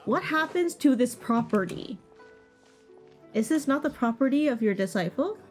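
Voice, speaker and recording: A woman's voice: high-pitched, expressive, normal speed. Woman livestreamer chatting over game music. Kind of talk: casual game livestream commentary.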